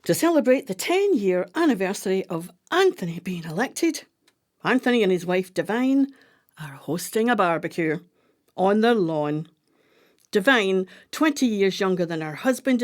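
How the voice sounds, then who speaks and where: A woman's voice: mid-range voice, lively intonation, moderate pace, close to an everyday voice. A woman playing a scheming older female pensioner in a radio play, speaking in a theatrical, conspiratorial way. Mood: conspiratorial, businesslike.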